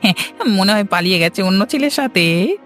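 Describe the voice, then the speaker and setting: A man's voice: high-pitched, animated, a little fast, a bit bright, faint music behind. A man playing a scheming, outwardly obsequious servant of a village master in a cartoon. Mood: servile, alarmed. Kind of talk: cartoon voice acting.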